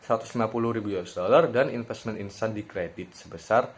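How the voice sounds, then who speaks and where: A young man giving an explanatory class presentation as a university student, in a slightly halting way with self-corrections. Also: on the low side. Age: young adult.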